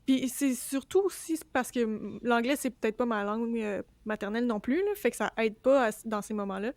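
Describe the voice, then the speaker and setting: A woman with a Quebec French accent co-hosting a book podcast, talking in a relaxed, frank way. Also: fairly high pitch, brisk, no room sound. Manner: relaxed, frank, laughs lightly. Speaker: podcast co-host. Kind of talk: casual chat about books.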